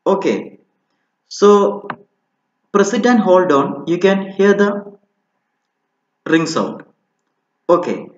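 A man with a Malayali Indian accent explaining a technical tutorial informally, step by step and haltingly, often saying okay, recorded indoors with a dull, roomy sound. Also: sits high, lively intonation. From Kerala, India. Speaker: electronics installation instructor.

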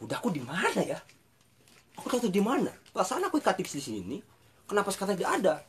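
A man's voice: high-pitched, wildly swooping pitch, quick pace, slight background noise, pitched above the performer's own voice. Man voicing an indignant elder god. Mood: puzzled. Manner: indignant, demanding. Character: proud elder male deity, angry brother.

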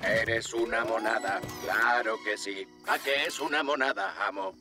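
A servile cartoon robot henchman. He drags his words out slowly, fussing over things like a simple-minded, devoted helper. Music plays behind him. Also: mid-range voice, creaky. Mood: cooing, doting. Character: doting dim-witted robot henchman, servile to his master. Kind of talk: children's cartoon dub acting.

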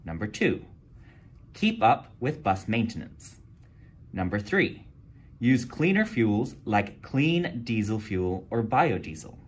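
A man reading a children's picture book aloud indoors, with lively storytelling. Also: fairly low pitch, takes it slowly, slightly rough. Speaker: county environmental staff reading to kids. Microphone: camera filming book over shoulder.

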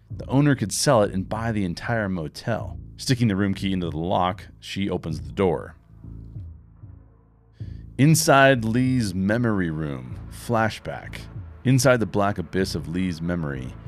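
A man in his forties reading screenplay action lines in a measured, wry way into a studio microphone in a home podcast studio. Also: fairly low pitch. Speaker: host and narrator. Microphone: studio microphone with headphones.

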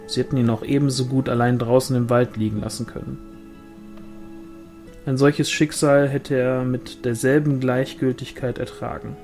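A man's voice: medium pitch, flat delivery, moderate pace, a little mellow, soft background music. A man reading a literary novel aloud as scripted audiobook narration. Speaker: audiobook reader.

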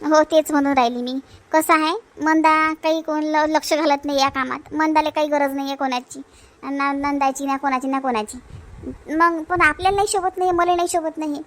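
A woman's voice: sits high, fairly even intonation, moderate pace, fairly bright tone. A woman voicing a dutiful, insistent village wife in an amateur cartoon family story, with a Varhadi Marathi accent. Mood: insistent, concerned.

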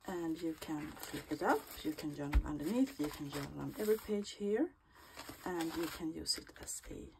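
Low, gravelly woman with a stuffy cold. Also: animated, moderate pace, background noise. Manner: chatty, often murmuring half-whispered asides while working. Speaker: crafting vlogger. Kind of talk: casual crafting walkthrough. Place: small home craft room.

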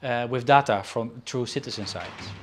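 Dutch-raised man, halting interview chat. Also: mid-range voice, very noisy. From Netherlands. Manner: halting, with frequent fillers and restarts. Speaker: guest artist and angler. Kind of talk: casual interview. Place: indoor studio room with shelves.

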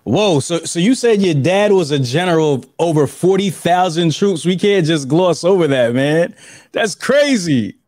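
A man's voice: on the high side, animated, normal speed, a little mellow, dry recording, studio quality. A man in his thirties hosting an interview over a video call, upbeat and prone to repeating himself. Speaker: podcast host. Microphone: webcam on a video call.

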